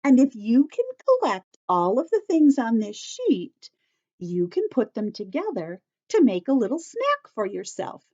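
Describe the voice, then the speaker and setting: A woman with a high-set voice teaches a lesson to young children. Her intonation is very animated and her phrases are simple and deliberate, often repeated. The sound is a little dull and lo-fi.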